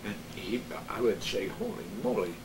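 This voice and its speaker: An older man, a radio veteran, telling anecdotes casually in a halting, hesitant way. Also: lowish voice, huge pitch swings. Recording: roomy, distant, clearly noisy.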